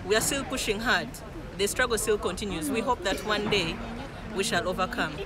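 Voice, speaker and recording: A young woman gives an earnest, halting video message outdoors in a city square. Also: mid-range voice, a loud crowd. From Uganda.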